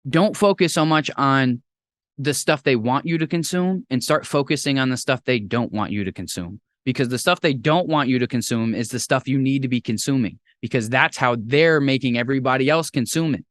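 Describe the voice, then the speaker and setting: A young man hosting a podcast in casual chat, talking in an animated, rambling way with playful jumps into a high voice. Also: brisk, no echo, studio quality. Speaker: podcast host.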